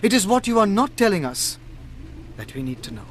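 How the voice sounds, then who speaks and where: An actor with an Indian accent playing a shrewd, self-assured detective in a radio drama, speaking in a measured, deliberate way. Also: male voice, high-pitched, sing-song, very noisy. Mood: probing, accusing.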